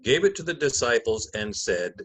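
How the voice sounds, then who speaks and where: Husky-voiced male pastor. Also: mid-range voice, very animated, moderate pace, rough recording. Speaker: clergyman and narrator of a gospel reading. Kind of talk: spoken pastoral message and scripture reading.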